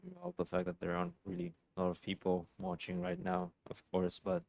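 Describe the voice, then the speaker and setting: Low-voiced man streaming a game. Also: sing-song, a little fast, gravelly, a little mellow. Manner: rambling, offhand, informal. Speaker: live streamer. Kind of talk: casual live game reaction commentary.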